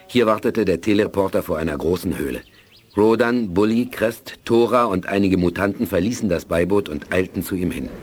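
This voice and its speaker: A man's voice: deep voice, fairly quick, lightly acted. A man narrating a science fiction audio drama as a solemn storyteller. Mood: solemn, suspenseful. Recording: music under the voice. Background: music.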